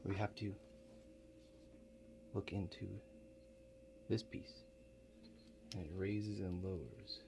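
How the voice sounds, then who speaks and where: Deep-voiced man in ASMR roleplay, loud hum. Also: takes it slowly, long pauses. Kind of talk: ASMR roleplay, improvised talk to the listener. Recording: loud steady hum behind the voice.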